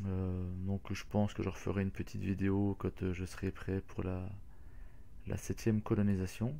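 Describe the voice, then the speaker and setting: Guy hosting a game channel, kinda low voice, lots of uh and restarts. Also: level tone, moderate pace, gravelly, mellow. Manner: hesitant, many fillers and restarts. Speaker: gaming channel host. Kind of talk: casual gameplay walkthrough.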